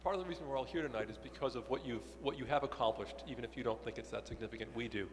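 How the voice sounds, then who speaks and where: A man's voice: mid-range voice, very fast, a little hiss or hum. A man in an audience putting a question to a speaker at a live event. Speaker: audience member asking a question. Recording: echoey hall with long reverb.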